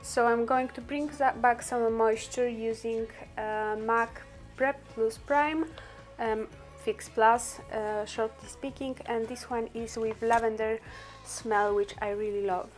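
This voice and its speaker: A woman's voice: a little high, fairly even intonation, slow, faint music behind. A woman whose first language is Polish, chatting through a makeup tutorial with many fillers and laughs. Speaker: beauty vlogger.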